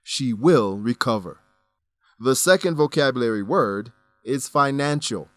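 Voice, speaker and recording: A man presenting scripted educational narration as a lesson host, deliberate and carefully enunciated. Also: medium pitch, animated, fairly bright tone, dry recording, soft background music.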